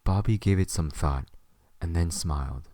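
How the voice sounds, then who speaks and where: A man's voice: sits low, moderate pace, warm tone, dry recording. A man reading scripted third-person story narration as the narrator of an audio drama.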